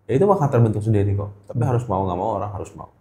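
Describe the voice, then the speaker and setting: Middle-aged Jakarta man, relaxed dark voice, colloquial podcast guest who repeats himself for emphasis, roomy echo. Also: lowish voice, racing. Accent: Jakarta Indonesian. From Indonesia. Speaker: guest, gemstone trader. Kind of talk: casual interview chat. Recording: echoey room.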